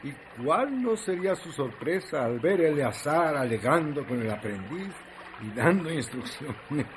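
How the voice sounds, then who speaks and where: A man's voice: fairly high pitch, sing-song, measured pace, background noise. A man narrating a radio drama as a dramatic storyteller.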